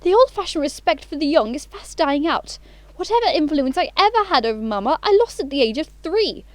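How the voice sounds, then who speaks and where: Woman playing a high-voiced, imperious society lady. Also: sing-song, a little fast. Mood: grandly romantic. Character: imperious, romantic young English society lady. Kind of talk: theatrical comedy of manners audio play.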